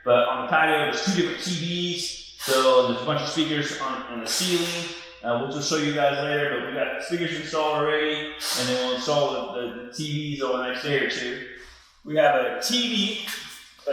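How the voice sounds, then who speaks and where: Male installer, middle-aged, informal walkthrough delivery, slightly high bright voice, heavily echoing empty-house sound. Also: brisk, a little hiss or hum. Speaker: audio-video installer presenting a job-site walkthrough. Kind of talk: casual walkthrough explanation. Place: large unfinished house interior, hard floors. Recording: echoey empty rooms with long reverb.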